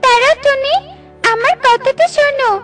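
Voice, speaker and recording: A woman's voice: very high-pitched, steady tone, normal speed, pushed and bright, soft background music, pitched above the performer's own voice. A woman voicing an earnest, appealing mango tree character in a children's cartoon story. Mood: aggrieved. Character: wronged, pleading talking mango tree.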